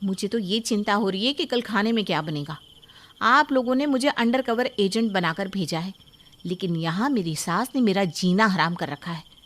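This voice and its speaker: A woman's voice: mid-range voice, animated, moderate pace, fairly bright tone, lightly acted. A woman playing an overworked young daughter-in-law who complains dramatically in a story. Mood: exasperated. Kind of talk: moral story dialogue.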